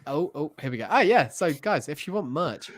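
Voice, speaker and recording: A man's voice: on the high side, sing-song, normal speed. A man co-hosting a podcast in casual chat, informally retelling his own reactions. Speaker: podcast co-host.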